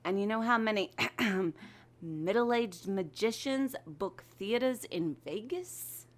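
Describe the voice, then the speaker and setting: A woman's voice: medium pitch, expressive, slow. A woman voicing a sly, sardonic and pushy magical sidekick to a magician in an audio drama. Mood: sly, goading. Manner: teasing, sardonic.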